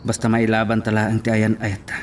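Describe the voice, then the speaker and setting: A man's voice: lowish voice, monotone, brisk, slight background noise, lightly acted. A man playing a poor, devoted young sweetheart in a romantic audio drama, speaking earnestly and sentimentally.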